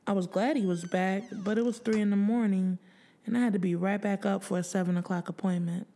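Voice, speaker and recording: A woman narrating first-person fiction as an audiobook reader, expressive and full of streetwise slang. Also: mid-range voice, brisk. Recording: music bed under the voice. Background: background music.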